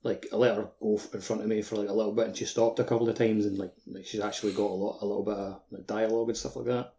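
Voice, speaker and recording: Fairly low-pitched man chatting about games. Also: level tone, rapid-fire, mellow. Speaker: podcast co-host. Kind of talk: casual gaming podcast chat.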